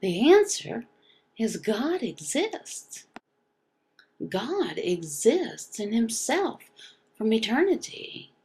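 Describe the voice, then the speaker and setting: Female religious explainer: slow, gravelly, emphatic and halting, with short repeated phrases. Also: a little high, big pitch swings, darkish tone. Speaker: teacher voicing a signed religious lesson. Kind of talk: slow explanatory religious teaching, question and answer.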